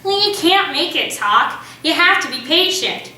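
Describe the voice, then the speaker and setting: A proud, easygoing young boy who talks in a matter-of-fact way, as a woman reading aloud puts him on. His voice comes out bright and clear in an echoey room, heard from a distance. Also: high-pitched, lively intonation, unhurried, voice pushed up, heavily acted.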